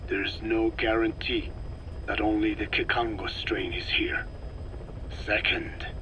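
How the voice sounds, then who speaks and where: A frail, cryptic elder scientist for a video game. His low voice is breathy and raspy, and he speaks very slowly, in formal, deliberate phrases. Clear noise runs under the taped recording. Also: pushed and bright, low-quality recording. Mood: grave, cryptic. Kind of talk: dramatic video game voice acting, expository.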